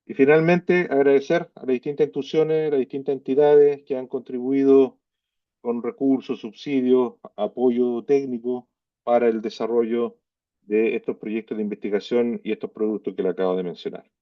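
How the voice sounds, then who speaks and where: A man wraps up a presentation, often pausing to check in with the audience. He sounds far from the mic, in a dull, dry, lo-fi recording. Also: medium pitch, fairly even intonation, no room sound. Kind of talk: conference presentation.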